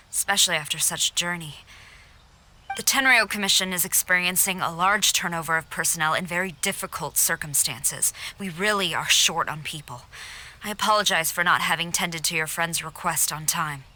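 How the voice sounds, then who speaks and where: Forceful, formal female general. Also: on the low side. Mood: grave, formal. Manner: formal, measured, authoritative. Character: stern, formal female army general, dutiful and composed. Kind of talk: video game voice acting.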